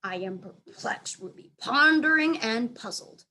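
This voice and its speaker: A woman's voice: fairly high pitch, expressive, a little slow, pushed and bright. A woman voicing a wry, grumpy male dog in a storybook, with a dry, mock-dramatic manner. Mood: fond, playful.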